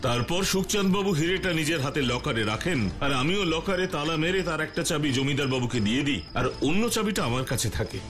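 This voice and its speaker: High-pitched, anxious, pleading bank manager acted by a man. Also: expressive, fairly quick, few pauses, music in the background, lightly acted. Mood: nervous, deferential. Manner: imploring, deferential, repeats phrases. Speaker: voice actor in a cartoon. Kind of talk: dubbed children's cartoon dialogue.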